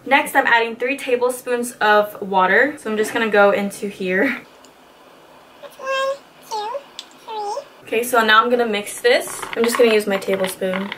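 A woman's voice: a little high, expressive, normal speed, pauses often, background noise. A woman in her twenties chatting casually through a beauty tutorial, bubbly and slangy, swearing casually, in a small indoor studio. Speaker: beauty vlogger.

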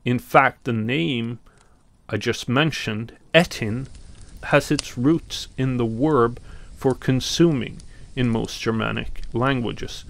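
Slow, gravelly-voiced Norwegian man. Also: medium pitch, dry recording. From Norway. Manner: slow and deliberate, with pauses and some fillers. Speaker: podcast host. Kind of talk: reflective commentary on mythology.

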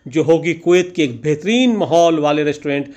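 A man's voice: a little high, brisk, a little mellow. A man narrating a vlog as a scripted voice-over, with ornate, poetic and theatrical phrasing. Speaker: vlogger narrating.

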